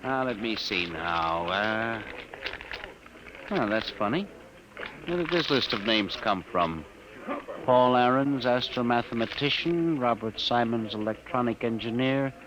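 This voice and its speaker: A man's voice: mid-range voice, expressive, a little slow, crowd noise, natural voice. A man playing a timid, stammering records clerk. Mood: fussy. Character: meek, fussy male records clerk. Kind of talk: 1950s radio drama acting. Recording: scratchy, hissy old radio recording.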